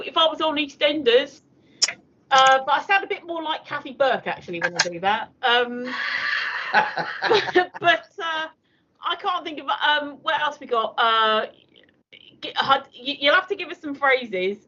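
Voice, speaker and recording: Bright-voiced older South London woman. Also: sits high, animated, unhurried, highs cut off, a little hiss or hum. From South London, born in Surrey. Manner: chatty, with frequent fillers and laughs. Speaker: guest. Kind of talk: casual chat.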